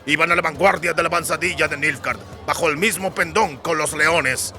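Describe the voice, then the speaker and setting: A man voicing gruff, sardonic dwarf soldiers in a fantasy audiobook, blunt and given to swearing. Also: fairly high pitch, a little fast, pushed and bright, faint music behind. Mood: grim. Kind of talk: dramatised fantasy audiobook dialogue.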